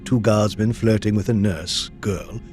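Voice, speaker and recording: An earnest gentleman companion, acted by a man, telling a detective story in an audio drama. The voice is on the low side and measured, loyal in tone, with soft music underneath. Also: fairly even intonation, lightly acted. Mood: reflective, measured. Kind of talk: audio drama narration and dialogue.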